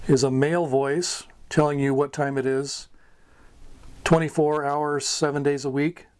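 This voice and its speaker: Higher-pitched American man explaining informally. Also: a little mellow, a little hiss or hum. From United States. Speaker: amateur radio hobbyist teaching beginners.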